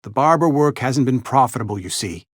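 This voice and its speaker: An actor playing a fussy, mournful, company-loyal frontier barber and undertaker in a video game, speaking in formal, wordy, over-polite phrases. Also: male voice, medium pitch, expressive, brisk, no echo, studio quality, natural voice. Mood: apologetic, resigned. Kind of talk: theatrical video game character acting.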